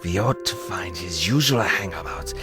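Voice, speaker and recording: An actor playing a grumbling, wry soldier companion in a fantasy audio drama. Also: male voice, low-pitched, expressive, moderate pace. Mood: low, confiding. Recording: loud music behind the voice.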